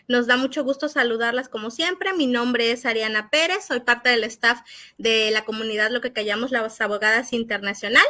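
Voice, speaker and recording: Bright, high-voiced woman hosting. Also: moderate pace. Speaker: webinar host. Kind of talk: formal spoken introduction.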